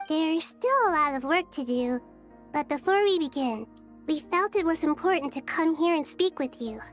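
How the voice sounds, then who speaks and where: Soft wise little girl in a game, really high voice, calm and thoughtful. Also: soft background music. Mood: gentle, thoughtful. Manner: gentle, thoughtful, measured phrasing. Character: gentle, wise, childlike young girl, serene and thoughtful. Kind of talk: video game character acting.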